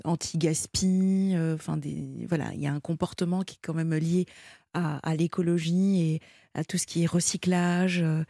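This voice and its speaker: A woman hosting a late-night phone-in radio show, speaking gently and a little hesitantly while helping her caller along. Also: on the low side, fairly even intonation, moderate pace, gravelly, dry recording, clean studio sound. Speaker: radio host. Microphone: studio microphone.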